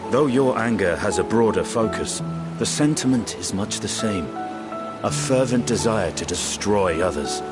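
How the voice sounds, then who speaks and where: A man plays a grim dragoon bent on vengeance in a fantasy game. The voice is deep and brooding, each line measured. Loud music runs underneath. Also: animated, close to an everyday voice. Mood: brooding, bitter. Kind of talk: video game cutscene acting.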